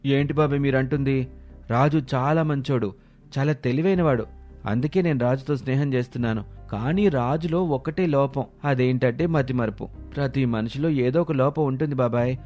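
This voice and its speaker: Earnest young village lad, voiced by a man, mid-pitched, loyal and sincere, storybook drama with faint music behind. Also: normal speed, warm tone, natural voice. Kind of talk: dramatised moral story acting.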